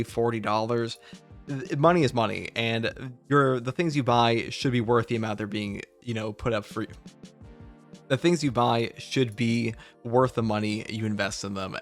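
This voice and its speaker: A man who makes gaming videos, casually discussing a video game and explaining his opinions in a measured way. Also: medium pitch. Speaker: gaming YouTuber.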